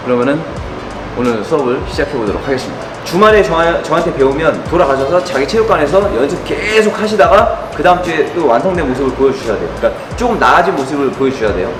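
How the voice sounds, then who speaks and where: A man running a boxing class, firm and direct as he coaches and counts out drills. His voice sits a bit high. Music plays in a roomy, echoing gym. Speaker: boxing trainer. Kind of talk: group class instruction. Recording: echoey gym with loud music.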